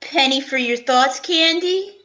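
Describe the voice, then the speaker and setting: High, bright woman as a slow-talking police inspector. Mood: fond, pensive. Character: protective, weary male police homicide inspector. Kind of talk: amateur old-time radio detective drama.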